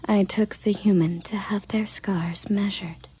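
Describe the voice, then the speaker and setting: Woman as a hushed, slow-speaking elven guard captain. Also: mid-range voice, fairly even intonation. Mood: deferential, concerned. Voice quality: breathy whisper. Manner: formal, measured, matter-of-fact. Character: female elven guard captain, dutiful, formal, earnest. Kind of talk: whispered ASMR roleplay.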